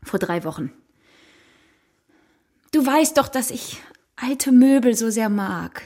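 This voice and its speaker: A woman's voice: on the high side, animated, slow pace, darkish tone, exaggerated voice. An actress playing an evasive, guilty mother in a children's radio play, speaking hesitantly and coaxingly. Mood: defensive, wistful. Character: evasive, guilty mother confessing to her husband.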